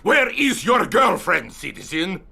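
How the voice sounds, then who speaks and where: An actor playing a threatening, insistent military interrogator making forceful demands in a video game. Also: male voice, high-pitched, wildly swooping pitch, measured pace, vocal fry, full character voice. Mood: menacing. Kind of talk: video game cutscene dialogue.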